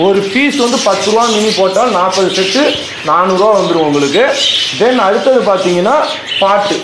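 A man who runs a bird farm, with a Tamil Nadu accent, talking viewers through his costs one by one in a casual how-to way. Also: high-pitched. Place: bird farm, amid birds. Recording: echoey, loud background noise. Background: birds chirping.

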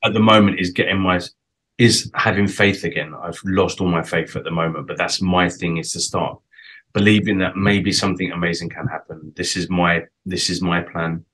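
A man's voice: low voice, flat delivery, moderate pace, a bit bright, rough recording. A British man in his late forties with a British accent, hosting a casual interview on a video call, chatty and reflective. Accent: British English. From Britain. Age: 40s. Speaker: interview host. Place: home room, indoors. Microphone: webcam on a video call.

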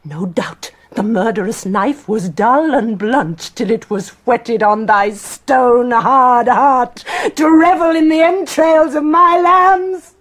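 A woman's voice: on the high side, slow pace, few pauses. An actress playing a bitter, defiant grieving queen in intense theatrical Shakespearean verse. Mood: bitter grief. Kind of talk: Shakespearean tragic verse acting.

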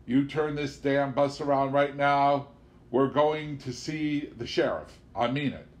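A man's voice: mid-range voice, unhurried, lightly acted. A man voicing an angry, foul-mouthed rustic bully in an audiobook, speaking crudely. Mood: livid. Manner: hollering, crude, rustic ungrammatical speech. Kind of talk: audiobook character voice.

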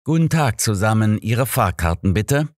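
Narrator guy doing a courteous train conductor, formal, pitched up a bit, audiobook. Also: expressive, normal speed, dry recording, clean studio sound. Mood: officious, polite. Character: polite, officious male train conductor.

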